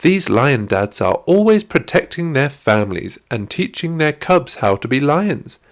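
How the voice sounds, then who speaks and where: A man voicing a cheerful, eager ten-year-old girl who trains animals in a children's storybook, bright and encouraging in manner. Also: mid-range voice, big pitch swings, unhurried, pitched above the performer's own voice. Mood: warm, proud.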